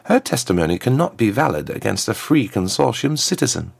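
Man performing a smug storybook villainess, raised contralto pitch, swooping intonation, formal, haughty, silkily menacing. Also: sing-song, a little fast, exaggerated voice. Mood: dismissive. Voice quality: smooth, lifted contralto. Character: smug, haughty, athletic woman ambassador and villain.

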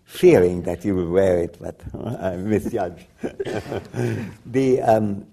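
An older man, a native Bengali speaker from Bangladesh, talking reflectively as an interview guest, often restarting and laughing softly. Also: low-pitched, takes it slowly, husky. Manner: rambling with frequent restarts and soft laughs. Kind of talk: reflective conversation.

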